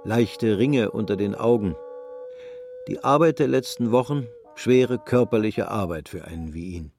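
Low-voiced male narrator, sober and measured. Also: vocal fry, darkish tone. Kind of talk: literary scripted narration for radio drama.

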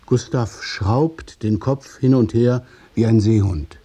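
A man narrating a literary radio play in a measured, observational way. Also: medium pitch, mellow, breathy edge. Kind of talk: scripted literary narration in present tense.

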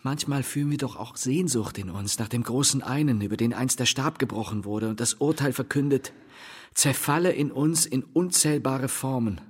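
Man acting brooding tormented husband, radio drama, declamatory, resigned, rough-edged voice, echoing hall. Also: mid-range voice, lightly acted. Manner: solemn, declamatory, halting dramatic delivery. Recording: long hall reverb.

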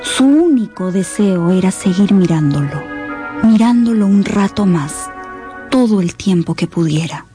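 A woman giving measured, scripted dramatic narration for a radio novel. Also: medium pitch, expressive, over music.

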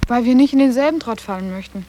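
A woman's voice: a little high, quick pace, mellow, soft background music, natural voice. An actress playing a sarcastic, challenging teenage schoolgirl in a literary radio drama, matter-of-fact and pointed.

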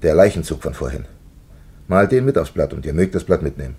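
Stern older village dad in a radio drama, super deep husky voice, grave, bossy. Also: male voice, big pitch swings, a little fast, darkish tone, full character voice. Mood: grave, commanding. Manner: grave, commanding, terse. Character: stern, solemn village father, older man. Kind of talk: German radio play acting.

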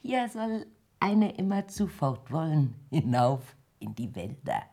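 An actress playing a dying old peasant mother in a radio drama, anxious and plaintive, with a weak, breathy, faltering voice. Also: deep voice, very animated, slow, mellow, full character voice. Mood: uneasy. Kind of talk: theatrical radio drama acting.

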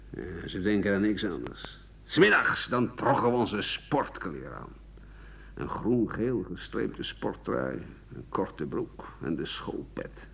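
An actor playing an embittered aging French doctor and war veteran, rambling sardonically through his memories in a radio drama, his voice husky and rough-edged. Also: on the low side, heavily acted. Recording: dull 1980 radio play recording.

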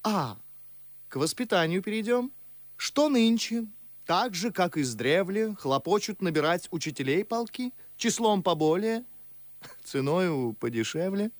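An actor playing a witty, talkative, mocking young gentleman in an ardent, ironic verse radio drama. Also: high-pitched, expressive, measured pace, no echo. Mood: ironic, lively. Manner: ardent, ironic, theatrical declamation. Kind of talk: Russian classical verse radio drama acting.

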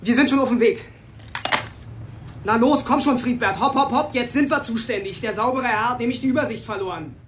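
A woman playing a feisty small-town policewoman in a radio drama, brisk, sardonic and quick to take offence. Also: on the high side, noisy background, lightly acted. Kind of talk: German radio crime drama acting.

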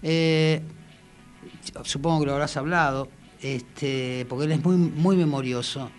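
A man's voice: fairly high pitch, fairly even intonation, a little slow. A middle-aged man with an Argentine accent, a musician guest chatting casually and rambling a little. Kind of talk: casual chat. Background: faint music.

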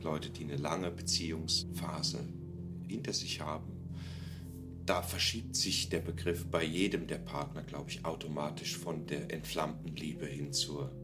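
Male interviewee, deep voice, unscripted and reflective, prominent music underneath. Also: little pitch movement, measured pace. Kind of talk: casual reflective talk about relationships. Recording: loud guitar music behind the talk.

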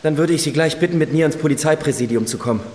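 A man's voice: on the high side, rapid-fire, darkish tone, reverberant, big-room sound, natural voice. An actor playing an anxious, commanding male police detective in a German radio drama. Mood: concerned, official.

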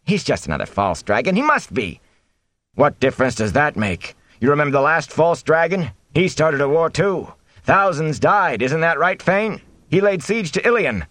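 Man playing alarmed, high-pitched villagers. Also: big pitch swings, moderate pace, a bit bright, no room sound. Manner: crying out, accusing, fearful. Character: alarmed, quarrelling villagers in a panicked crowd.

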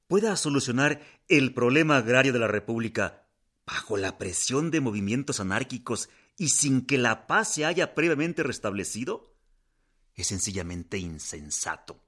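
An actor playing a stern president, delivering a firm, measured, formal political address in a radio drama. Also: male voice, medium pitch, lively intonation, a bit bright.

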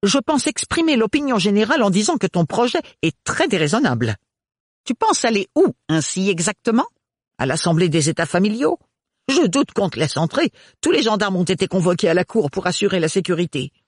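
A husky-voiced male narrator voicing a prim, disapproving aunt in an audiobook. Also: medium pitch, big pitch swings, brisk, no echo, clean studio sound, pitched above the performer's own voice.

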